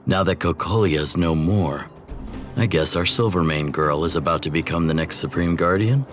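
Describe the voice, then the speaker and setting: A man voicing a seasoned, steady older leader in an anime-style game, gruff yet approving and deliberate. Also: low-pitched, soft background music, natural voice.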